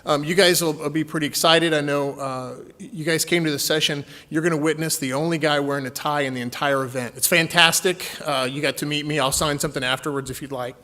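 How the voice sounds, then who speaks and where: A man's voice: fairly high pitch, steady tone, fast talker, few pauses, pushed and bright. Joking Texan man at a conference talk. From Texas. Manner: chatty, jokey with the audience. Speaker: conference presenter. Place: conference session room.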